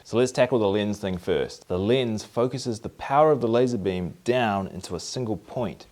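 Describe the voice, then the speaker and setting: Gesturing New Zealand man explaining a build. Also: lowish voice. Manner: upbeat, explains with lively hand gestures. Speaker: maker video host. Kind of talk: explanatory maker vlog. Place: home garage workshop. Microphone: camera at mid-distance, framed from the waist up.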